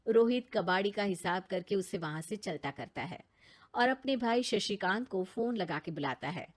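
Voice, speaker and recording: A woman's voice: mid-range voice, animated, moderate pace. A woman reading scripted narration for a story.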